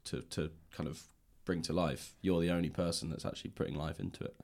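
A man hosting a conversational podcast and interviewing a guest, softening his phrasing as he goes. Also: rumbling low, steady tone, fast, gravelly, dry recording.

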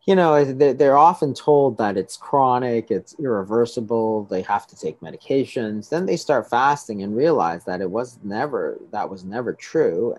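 A man's voice: on the low side, darkish tone, breathy edge, dry recording. A middle-aged man, a doctor, explaining things to an interviewer, often restarting and checking with "right?". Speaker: doctor treating patients.

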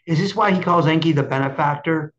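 A man hosting a casual interview over a video call, excitable and rambling, often repeating himself, with dull lo-fi audio. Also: fairly high pitch, fairly quick. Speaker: interview host. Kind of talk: casual chat.

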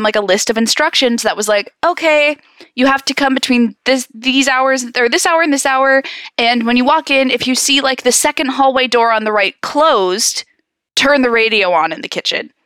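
A woman's voice: a little high, a little fast, a bit bright, clean tone, dry recording, studio quality. A woman co-hosting a podcast in casual chat, exclamatory and given to acting out little quotes. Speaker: podcast co-host.

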